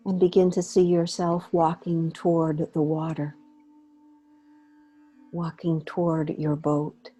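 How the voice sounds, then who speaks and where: Woman doing guided meditation, really slow n gentle, mellow dark voice, lots of pauses. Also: low-pitched, level tone, husky, soft background music. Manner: unhurried, gentle, pausing between short phrases.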